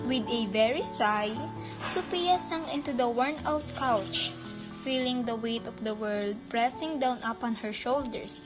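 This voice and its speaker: A woman narrates a radio drama in a fairly high voice with a Filipino accent. She reads from a script, with music clearly playing behind her. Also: unhurried, poor audio.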